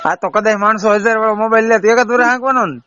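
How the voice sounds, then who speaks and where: A man's voice: high voice, steady tone, fast talker, pushed and bright, smooth, no echo. A man with a Saurashtra Gujarati accent chatting casually on a phone call, teasing and full of questions. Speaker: prank caller. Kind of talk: casual joking phone chat. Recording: dull lo-fi phone call recording, faint noise.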